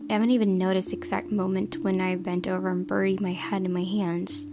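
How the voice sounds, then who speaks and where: A woman narrates a visual novel with expression, reading as she plays. Her mid-pitched voice has plenty of creak, and music plays clearly underneath. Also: level tone, brisk. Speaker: game player reading aloud.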